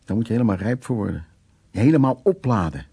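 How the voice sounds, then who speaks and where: Gravelly, dark-voiced male storyteller. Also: lowish voice, very animated, quick pace, heavily acted. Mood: earthy, confessional. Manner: earthy, wry storytelling. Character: worldly, blunt man recounting wartime escapades in Berlin. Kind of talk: radio drama monologue.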